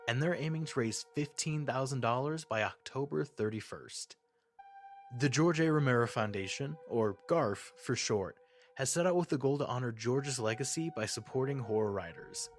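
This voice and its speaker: A male announcer delivers a scripted appeal in a medium-pitched voice. Light music plays behind him. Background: music bed.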